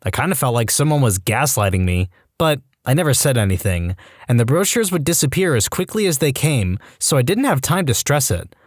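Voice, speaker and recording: A man reading online stories aloud in a scripted storytime narration, wry and lively, with dry asides. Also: mid-range voice, brisk, no echo.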